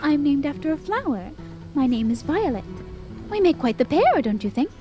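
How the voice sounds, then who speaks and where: High, swooping, flirtatious office charmer played by a woman. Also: very animated, normal speed, mellow. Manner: coy, smooth-talking, effusive. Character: tall, flirtatious, gushing female HR worker. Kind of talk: audio drama acting. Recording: music under the voice.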